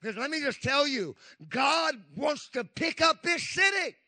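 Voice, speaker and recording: A man's voice: very high voice, lively intonation, a little slow, pushed and bright. A man in his fifties preaching a Pentecostal sermon, emphatic, with frequent call-and-response asides to the congregation. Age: 50s.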